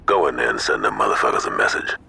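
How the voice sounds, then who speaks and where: Deep gravelly gang contact, fast and blunt briefings. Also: male voice, expressive, sharp tone, exaggerated voice. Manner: clipped, rough, briefing tone. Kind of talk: video game mission call.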